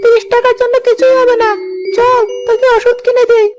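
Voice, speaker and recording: A woman's voice: very high voice, level tone, fairly quick, heavy background noise. A woman playing a loyal, protective little village brother in a cartoon story, earnest and pleading. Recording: prominent music behind the voice.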